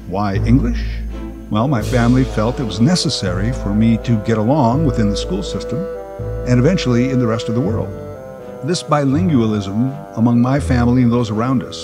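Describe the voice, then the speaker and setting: Sardonic middle-aged man, lively pitch. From Canada. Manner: dry, sardonic, opinionated. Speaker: podcast host. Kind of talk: personal monologue podcast.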